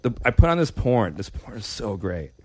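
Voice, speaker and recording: A man in his thirties hosting a podcast, talking in loose crude banter with halting, stammering restarts. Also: on the low side, sing-song, a little mellow, dry recording. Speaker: podcast host.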